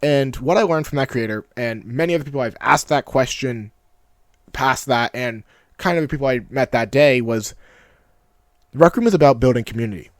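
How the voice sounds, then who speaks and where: Deep-voiced young man, dry close mic. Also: moderate pace, no echo. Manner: casual, nostalgic, self-mocking asides. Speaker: YouTube creator. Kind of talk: reflective personal vlog narration.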